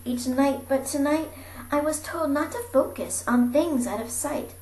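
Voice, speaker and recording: A woman reading a rhyming picture book aloud in a theatrical, animated way, moving between hushed and projected delivery. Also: sits high, unhurried. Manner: theatrical, dropping into breathy whispers and calling out. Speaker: amateur storybook reader. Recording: roomy echo with faint background noise.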